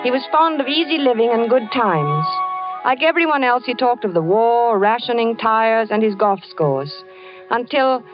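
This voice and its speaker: An actress voices a young wife, reflective and curious, who narrates events and presses her husband with questions. Her sound is a dull old radio broadcast with music running clearly under her. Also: on the high side, expressive, moderate pace, natural voice. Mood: wistful. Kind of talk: 1940s radio acting.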